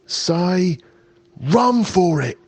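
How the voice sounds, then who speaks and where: Gruff, soft-hearted storybook burglar, raised pitch and drawled, put on by a male reader. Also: animated, no echo, heavily acted. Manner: rough dialect speech, slow drawling lines. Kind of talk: storybook read-aloud.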